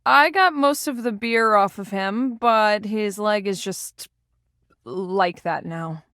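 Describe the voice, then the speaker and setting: A woman's voice: mid-range voice, slow, a bit bright, no echo, studio quality. A woman playing an easygoing, teasing, party-loving friend in a video game, casual, joking and a little rambling. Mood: sheepish, joking. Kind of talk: video game character voice acting.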